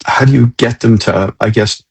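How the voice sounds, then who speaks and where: A man hosting a conversational interview, phrasing long questions in a halting, tentative way. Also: mid-range voice, creaky, breathy edge. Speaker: interviewer, host.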